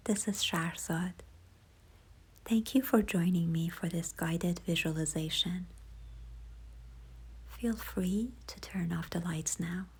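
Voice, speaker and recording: A woman leading a guided visualization in a soft, hushed, breathy voice, gentle, unhurried and soothing. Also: fairly low pitch. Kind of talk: slow guided meditation narration.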